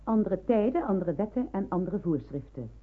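A woman's voice: medium pitch, animated, moderate pace, natural voice. A woman plays a strict hospital matron in a radio drama. She is formal and commanding, with sharply swooping, emphatic intonation. The vintage recording is narrow and tinny. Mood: brisk, authoritative. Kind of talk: radio play acting.

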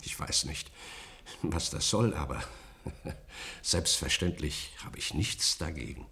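A man's voice: rumbling low, expressive, slow pace, vocal fry, pushed and bright, exaggerated voice. An actor playing a stern, suspicious male government commissioner in a radio drama, formal, officious and emphatic. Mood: grudging.

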